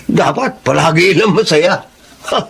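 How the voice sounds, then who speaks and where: A man's voice: on the high side, a little slow, gravelly, a little hiss or hum. An actor playing a cheerful, easygoing poor family man, content with a simple life, in a folksy Filipino radio drama style. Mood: proud, carefree. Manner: folksy, chuckling, animated.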